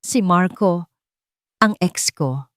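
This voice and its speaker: A woman with a Filipino accent voicing a frightened, vulnerable young woman, soft and hesitant, in a romance story. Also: fairly low pitch, expressive, slow pace, no room sound, studio quality. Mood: tearful.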